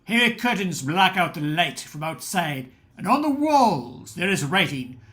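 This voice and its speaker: Casting: man as radio-drama narrator, higher-register voice with a sharp, bright edge, scripted and dramatic. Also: sing-song, unhurried.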